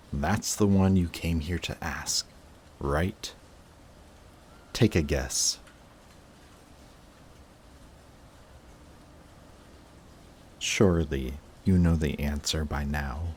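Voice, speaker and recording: Male actor as emotional supervillain, very deep voice, slow halting delivery, intense, defensive, audio roleplay drama, noisy ambient backdrop. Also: darkish tone. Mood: guarded, agitated. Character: emotional male supervillain confessing to his longtime enemy.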